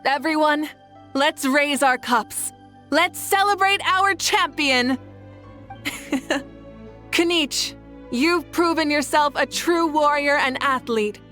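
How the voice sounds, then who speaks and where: A woman's voice: high-pitched, takes it slowly, pauses often, pushed and bright, soft background music, heavily acted. A woman playing a confident, benevolent warrior leader in an anime game, composed and encouraging. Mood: celebratory.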